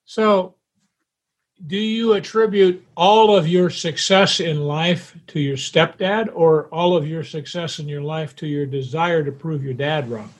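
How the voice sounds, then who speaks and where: A man in his seventies hosting a podcast interview, putting direct, deliberate questions in a conversational way. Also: a little high, steady tone.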